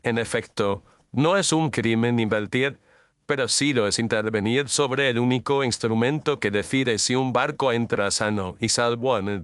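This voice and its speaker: A man playing a composed, incisive detective in an audio drama, speaking formally and with measured declamation. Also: lowish voice, a bit bright, clear, resonant tone, dry recording, clean studio sound.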